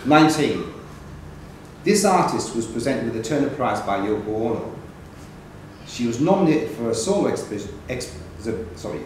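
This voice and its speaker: A man's voice: mid-range voice, a little mellow. A man working as a quiz question reader, reading long questions aloud at a live event and sometimes stumbling and correcting himself. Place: echoey indoor pub function room. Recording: very echoey noisy room, distant mic.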